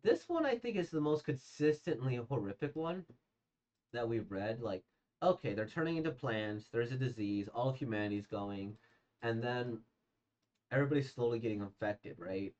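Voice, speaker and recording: A hoarse, slightly congested man casually reacting to manga he has read, rambling with many fillers and restarts. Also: medium pitch, animated, normal speed, darkish tone. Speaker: manga reader and reviewer. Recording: dull lo-fi sound.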